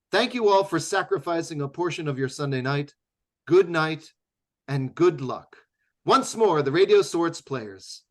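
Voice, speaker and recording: A man with a slightly high voice serves as the announcer of a live radio drama show. He reads scripted closing announcements in a formal, theatrical manner that recalls old-time radio.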